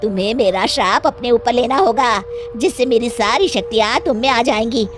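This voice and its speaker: A woman voicing a menacing, mocking cave witch in an animated story, with drawn-out theatrical delivery, prone to cackling. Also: on the high side, close to an everyday voice. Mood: grave, bargaining. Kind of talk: animated Hindi moral horror story. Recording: music under the voice.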